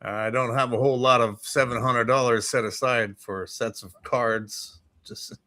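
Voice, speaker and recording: A man's voice: mid-range voice, steady tone, unhurried, pushed and bright, no echo, studio quality. A man co-hosting a chat show in a casual, conversational way. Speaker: co-host of a morning chat show.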